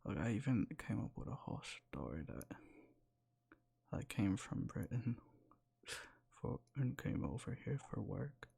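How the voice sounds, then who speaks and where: A young man whispering in a soft, rambling way close to the mic, sleepy and sometimes losing his words, for boyfriend roleplay ASMR. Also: fairly low pitch, very animated, unhurried, gravelly, pauses often, breathy edge. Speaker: ASMR creator.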